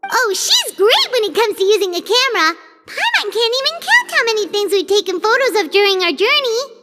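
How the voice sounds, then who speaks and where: A woman voicing a tiny, eager, chatty childlike fairy companion in a video game, squeaky and speaking of herself in the third person. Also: sing-song, fairly quick. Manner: refers to self in third person. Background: game music.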